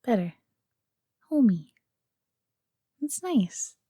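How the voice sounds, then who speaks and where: A woman's voice: fairly high pitch, sing-song, drawn-out words, warm tone, slightly rough, no echo, studio quality, heavily acted. A woman playing a friendly, nervous fox companion in a fantasy audio roleplay, speaking to the listener in a hesitant, chatty way.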